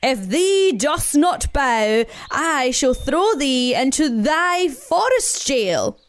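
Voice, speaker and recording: A woman voicing a pompous, grumpy male badger in a storybook, proclaiming imperiously with drawn-out words. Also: high voice, big pitch swings, full character voice. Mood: imperious, annoyed.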